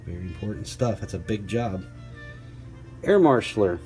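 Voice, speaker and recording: A man reading a children's picture book aloud as a teacher, pausing for conversational asides and questions to his young listeners. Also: on the low side, expressive, a little mellow, soft background music.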